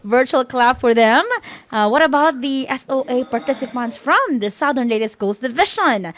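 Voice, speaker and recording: A woman's voice: high-pitched, lively intonation, normal speed, background noise. A woman with a Filipino accent co-hosting a school program in a casual, encouraging way. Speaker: co-host of a school-on-the-air program.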